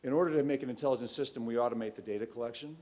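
A man in his thirties, a startup CEO, giving a loose, conversational pitch on a stage in a large auditorium, speaking into a stand mic with frequent ums and restarts. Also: racing, warm tone. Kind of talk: conference pitch talk.

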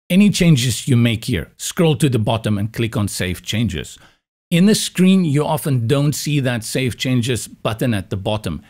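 A man's voice: mid-range voice, darkish tone. South african guy in his 40s walking through website settings, patient step-by-step, close desk mic. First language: Afrikaans. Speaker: web design instructor. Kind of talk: screen-recorded tutorial walkthrough.

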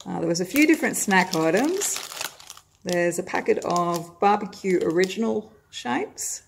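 A woman's voice: on the low side, animated, unhurried, gravelly, slight background noise. A middle-aged Australian woman at home, chatting casually as she films a grocery haul from above on her phone, with plenty of "uh" fillers. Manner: friendly, chatty, with frequent "uh" fillers. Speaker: vlogger. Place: home, indoors, at a table of groceries.

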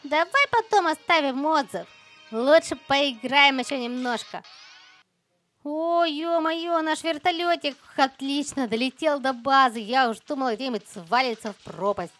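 A woman with a high voice commentates casually on a game for little kids. She sounds chirpy and exclamatory, sprinkling in playful diminutives as she speaks straight to her young viewers. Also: unhurried, fairly bright tone, faint music behind. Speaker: host of a children's gaming channel.

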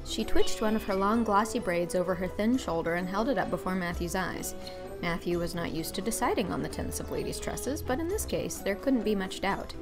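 A young woman narrates a storybook from a script. Her voice sits at a medium pitch, and light music plays quietly behind it. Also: animated, brisk. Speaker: audiobook narrator.

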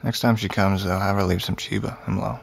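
Gravelly, self-destructive young addict. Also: male voice, lowish voice, fairly even intonation, fairly quick. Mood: stubborn, resigned. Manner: slack, evasive, fatalistic. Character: weary, self-destructive young male heroin addict, pleading and stubborn. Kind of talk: naturalistic audio drama acting.

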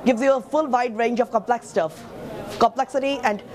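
A man's voice: very high voice, moderate pace. A young man, speaking eagerly and floridly in a short interview in a lobby. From India. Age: late teens. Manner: eager, flowery enthusiasm. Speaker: student competitor. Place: busy indoor university lobby. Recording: crowd chatter behind.

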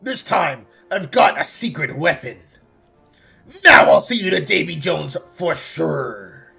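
A man's voice: a little high, very animated, slow pace, vocal fry, pushed and bright, slightly rough, faint music behind, full character voice. A man voicing a blustering, vengeful old robot pirate captain with a theatrical drawl in an amateur game fandub. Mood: gloating, menacing.

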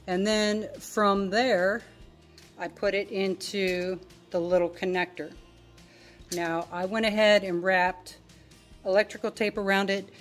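A middle-aged woman giving a casual, plainspoken, step-by-step tutorial as a DIY video host. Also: on the low side. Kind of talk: casual hands-on tutorial narration.

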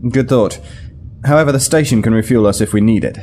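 A man's voice: fairly low pitch, a little fast, dark tone, slight background noise, natural voice. A man playing a serious, questioning starship captain, direct and businesslike, in a sci-fi audio drama. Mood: concerned.

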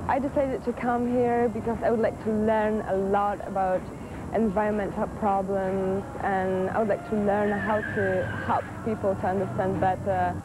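A woman's voice: mid-range voice, little pitch movement, normal speed, noisy background. Nineteen-year-old woman from Poland. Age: teens.

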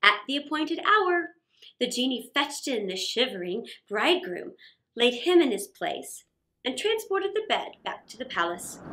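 A middle-aged woman reading a story aloud for children, expressive, with theatrical pauses and emphasis. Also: high voice. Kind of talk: dramatic read-aloud story narration for children. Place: small indoor set.

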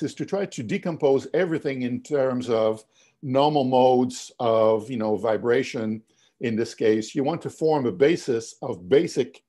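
An older man giving a physics lecture on a video call, explaining step by step with many fillers and restarts, his voice going hoarse. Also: medium pitch, warm tone. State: losing his voice, coughing. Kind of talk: webinar lecture over slides. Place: home office, indoors.

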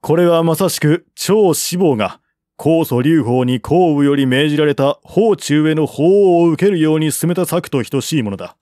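A man voicing a shrewd, calm military strategist of ancient China in an audiobook, formal and reasoned. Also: on the high side, normal speed, dry recording, studio quality, voice pushed up, natural voice. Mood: confident persuasion.

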